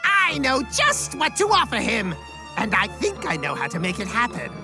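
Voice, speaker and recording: Wildly swooping, high-pitched cartoon villain. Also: male voice, sing-song, normal speed, exaggerated voice. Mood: gleeful scheming. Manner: bombastic, swooping between mutters and shrieks. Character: theatrical, short-tempered, conceited villain ghost schemer. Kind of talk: animated game cutscene acting. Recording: loud music behind the voice.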